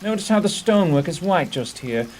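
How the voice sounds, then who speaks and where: A man playing a shrewd, logical gentleman detective in an audio drama, precise, formal and inquisitive. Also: high-pitched, big pitch swings, brisk. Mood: analytical, brisk. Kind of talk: audio theatre acting.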